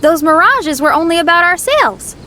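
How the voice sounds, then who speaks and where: A woman's voice: extremely high pitch, big pitch swings, normal speed, noisy background. A woman playing a composed, self-assured young character in a video game, speaking in an assertive, matter-of-fact way.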